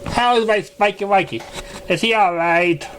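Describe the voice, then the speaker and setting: Genteel female pony dressmaker for a cartoon fan story, played by a man: raised pitch, affected, theatrical, drawn-out delivery. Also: fairly bright tone, a little hiss or hum. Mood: breezy, doting.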